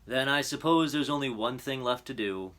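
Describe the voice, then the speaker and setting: A man's voice: medium pitch, normal speed, a bit bright, close to an everyday voice. A man voicing a determined, serious young girl in a storybook, earnest and precociously formal. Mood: resolute. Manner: earnest, matter-of-fact, formal. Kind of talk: storybook character voice.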